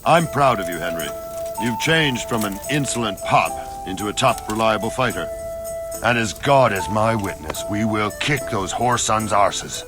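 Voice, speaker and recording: A man's voice: fairly low pitch, animated, normal speed, natural voice. An actor playing a fatherly, blunt old soldier in dramatic medieval game dialogue. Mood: proud, fired up. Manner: blunt, earnest, encouraging. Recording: music behind the dialogue.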